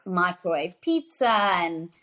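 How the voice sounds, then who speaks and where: A woman's voice: on the low side, lively intonation, slow pace, sharp tone. Chatty woman in her thirties. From South Africa. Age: 30s. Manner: chatty, enthusiastic, many fillers and restarts. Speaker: guest, nutrition author. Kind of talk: casual interview conversation.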